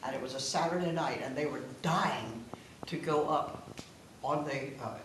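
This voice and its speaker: A woman's voice: deep voice, normal speed. A 98-year-old woman telling stories casually among family in a giggly, playful manner. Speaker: guest of honor reminiscing. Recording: noisy, echoey room, distant mic.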